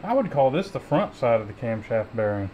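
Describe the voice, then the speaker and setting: A man's voice: medium pitch, big pitch swings, fairly quick, muffled recording, a little hiss or hum. A man in his thirties, a mechanic host chatting casually as he rebuilds an engine in his garage workshop. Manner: easygoing, talks while working with his hands.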